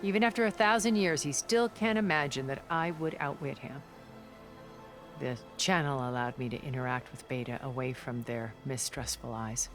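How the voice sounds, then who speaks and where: An actress playing a cultured, composed and superior thousand-year-old woman in a video game, speaking in a measured, deliberate way. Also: extremely low pitch, over music. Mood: confiding, wistful. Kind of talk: video game cutscene acting.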